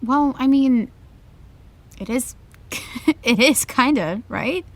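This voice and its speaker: An actress playing a groggy, placating young best friend in an audio drama, casual and hesitant. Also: female voice, sits high, slow, no echo. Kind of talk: naturalistic audio drama acting.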